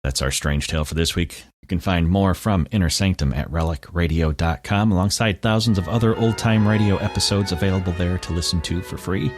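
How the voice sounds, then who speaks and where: Deep-voiced podcast host over guitar. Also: male voice, brisk, few pauses. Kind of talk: scripted outro.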